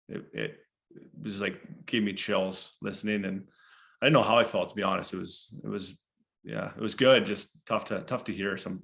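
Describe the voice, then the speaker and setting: Gravelly low-voiced male co-host. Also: fairly bright tone. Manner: hesitant, lots of uh and restarts. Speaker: podcast co-host. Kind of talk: casual podcast chat.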